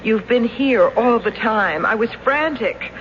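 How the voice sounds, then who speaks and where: An actress playing a worried, soothing wife in a 1970s radio drama. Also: female voice, on the high side, animated, a little slow. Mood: urgent, soothing. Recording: muffled, hissy 1970s radio recording.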